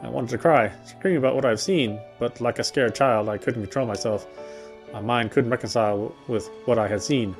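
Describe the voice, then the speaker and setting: Man dramatically reading aloud, lower-middle voice. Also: normal speed. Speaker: gamer reading the game text aloud. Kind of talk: dramatic read-aloud narration of a visual novel. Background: faint game music.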